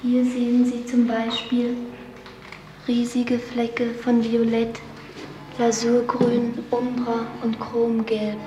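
An actress playing a ceremonious guide in a science fiction radio play, speaking in a formal, measured tour-guide manner. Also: female voice, a little high, completely flat delivery, rough recording. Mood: solemn, formal. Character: ceremonious female guide of a sci-fi pleasure house. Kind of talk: scripted radio drama acting. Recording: music behind the voice.

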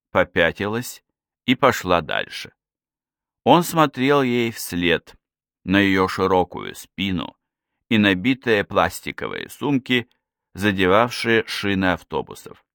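Deep-voiced male audiobook narrator, dry sound. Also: sing-song, unhurried, a bit bright, smooth, no echo. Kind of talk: scripted literary narration.

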